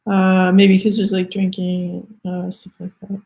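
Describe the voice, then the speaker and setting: A woman with a Canadian accent giving a halting, thoughtful spiritual card reading, full of fillers and restarts. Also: medium pitch, monotone, vocal fry, rough recording. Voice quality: soft-spoken, hesitant, many fillers and restarts. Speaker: tarot reader.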